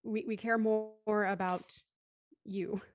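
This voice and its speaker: A woman's voice: mid-range voice, steady tone, takes it slowly, no room sound, muffled recording. A woman hosting a live streamed play reading, speaking in articulate, polite and rather formal phrases. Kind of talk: scripted recap and live show hosting.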